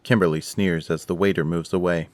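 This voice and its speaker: A man's voice: low-pitched, steady tone, brisk, darkish tone, no room sound. A man giving scripted narration for an audio drama.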